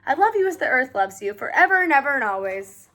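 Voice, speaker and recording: A woman voicing gentle mother animals in a storybook, soothing and reassuring their young in measured phrases. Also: sits high, big pitch swings, exaggerated voice. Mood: tender, reassuring.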